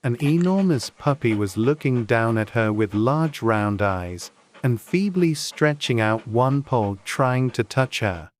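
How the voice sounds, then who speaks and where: An audiobook narrator reading a storybook in a measured, unhurried and gently expressive way. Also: medium pitch.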